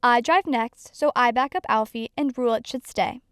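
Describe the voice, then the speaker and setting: Woman playing a breezy, high-pitched young driver. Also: normal speed, fairly bright tone, clear, resonant tone, no echo, clean studio sound, lightly acted. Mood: breezy, playful. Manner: breezy, playful, casual. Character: upbeat, easygoing young female driver on a road trip. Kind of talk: student audio drama acting.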